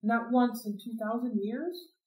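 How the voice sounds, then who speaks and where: A woman reading the lines of a skeptical teenage boy hero, brisk and questioning, recorded in a roomy space from a distance. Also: on the high side, a little mellow, natural voice. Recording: roomy sound, mic at a distance.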